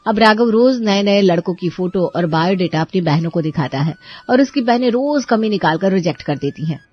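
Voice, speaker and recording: A woman's voice: mid-range voice, expressive, brisk, highs cut off. A woman narrating a scripted story as its storyteller.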